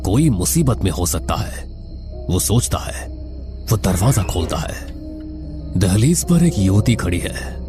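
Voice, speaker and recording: A man's voice: on the low side, big pitch swings, unhurried, music in the background. A man narrating a scripted horror story in a dramatic, suspenseful manner.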